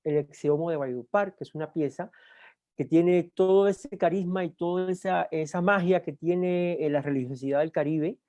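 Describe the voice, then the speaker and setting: A man's voice: fairly high pitch, a little mellow, no echo, lo-fi sound. Cuban man giving a university talk. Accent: Cuban Spanish. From Cuba. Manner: unhurried with frequent "eh" fillers and restarts. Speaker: guest lecturer.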